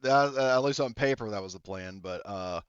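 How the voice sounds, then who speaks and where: A man's voice: fairly low pitch, fairly quick, smooth, no echo. A man running a tabletop game as game master, rambling loosely and laughing often. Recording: dull, lo-fi mic sound.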